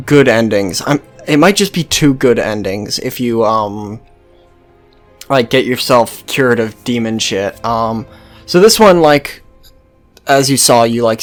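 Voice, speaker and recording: A game commentator talking casually and off the cuff, in a sweary, sardonic, offhand manner. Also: mid-range voice. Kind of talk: casual, improvised gameplay commentary.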